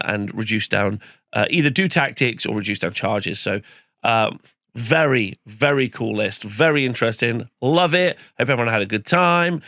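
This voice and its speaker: A man hosting a casual rundown of game results, his voice croaky as it comes back, rambling with fillers. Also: mid-range voice, fairly bright tone, dry recording, clean studio sound. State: croaky, recovering lost voice. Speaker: host. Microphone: home studio microphone.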